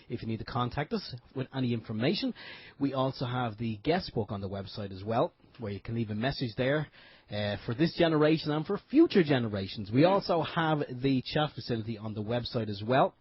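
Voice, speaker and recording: Male co-host, raspy mid-pitched voice, dry close sound, relaxed and jokey. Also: dry recording. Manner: relaxed, jokey, repeats himself. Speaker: radio show co-host. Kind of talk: casual radio chat and announcements. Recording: dull lo-fi internet radio audio.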